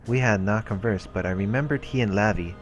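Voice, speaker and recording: A man's voice: on the low side, fairly quick. A man hosting a let's play, reading visual novel narration aloud with casual asides and the odd stumble over words. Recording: music under the voice. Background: visual novel background music.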